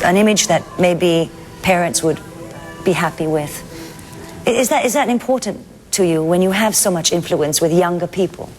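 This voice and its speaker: A woman hosting a television interview, asking questions in a polite, inquisitive and conversational way. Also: fairly low pitch. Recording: clear background ambient noise.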